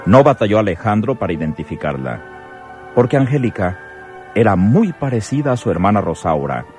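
A man's voice: fairly low pitch, very animated, brisk, a little mellow. A man narrating a radionovela in a solemn, florid, theatrical style. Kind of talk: dramatic scripted radionovela narration. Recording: faint music behind.

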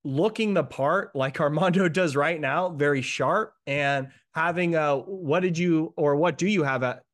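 A man's voice: fairly high pitch, a bit bright. A man in his thirties hosting an interview over a video call, upbeat and encouraging as he sums up his guest's points. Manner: upbeat, encouraging, quick recaps. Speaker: host, limo marketing coach. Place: room at home. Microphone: earbuds on a video call.

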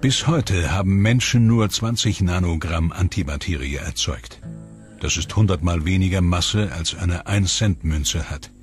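A man giving measured, explanatory scripted voice-over narration for a documentary. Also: deep voice. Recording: voice-over with music behind.

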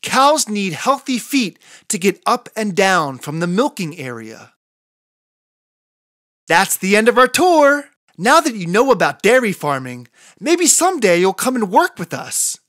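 Slow, lively children's storybook narrator. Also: mid-range voice, no echo, clean studio sound. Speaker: children's picture book narrator. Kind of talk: scripted read-aloud narration for children.

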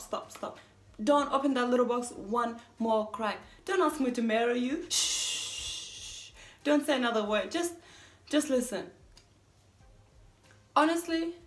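A woman performing a theatrical monologue as a self-declared mean young woman resisting a marriage proposal, dramatic and emphatic. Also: a little high. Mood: panicked pleading. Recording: echoey room.

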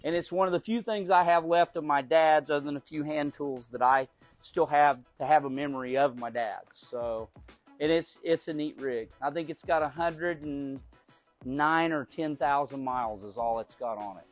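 A middle-aged man from Oklahoma talks through his car collection in a relaxed, folksy way. He often repeats a word while he gathers his thoughts, and his voice sits a bit high for a man. Faint music plays under the recording of his garage tour. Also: no room sound. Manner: folksy, unhurried, repeats words while thinking. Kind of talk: casual show-and-tell. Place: large garage.

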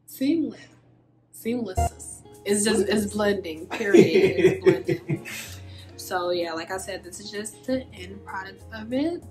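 A woman in her twenties with a dry, deadpan voice, chatting casually through a hair tutorial as a beauty vlogger. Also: mid-range voice, slow pace. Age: 20s. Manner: monotone, deadpan delivery. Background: background music.

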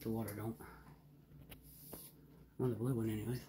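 A woman's voice: a bass voice, little pitch movement, normal speed, very noisy. A middle-aged woman, chatting casually to her viewers while cooking in her home kitchen. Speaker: vlogger. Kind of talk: casual home vlog narration. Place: small home kitchen, indoors. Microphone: handheld phone camera.

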